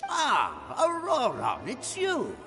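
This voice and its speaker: Slow, sing-song teasing old-timer. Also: male voice, extremely high pitch, huge pitch swings, vocal fry, full character voice. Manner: drawling, theatrical, bantering. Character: teasing, jovial old male townsman. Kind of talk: game voice acting. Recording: long echoing reverb.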